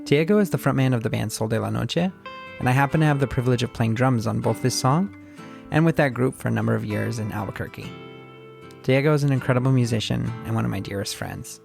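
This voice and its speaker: Upbeat male host with a gravelly voice. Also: mid-range voice, fast talker, darkish tone, soft background music. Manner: upbeat, friendly, chatty. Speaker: podcast host. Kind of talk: casual interview chat.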